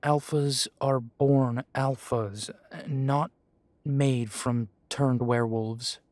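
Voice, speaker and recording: A blunt, guarded young male werewolf acted by a man in an audio drama. His voice is mid-pitched and recorded very dry, with a questioning, defiant edge. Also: steady tone, drawn-out words, a little mellow, no echo. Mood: confused, sceptical. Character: defiant, bewildered young werewolf, reluctant pack leader. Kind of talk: audio roleplay drama acting.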